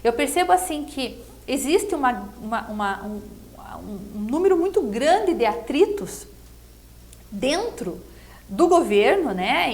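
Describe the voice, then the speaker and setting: A middle-aged Brazilian woman giving a conversational political interview, explaining herself earnestly and often checking with tag questions. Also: a little high. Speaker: political pre-candidate being interviewed.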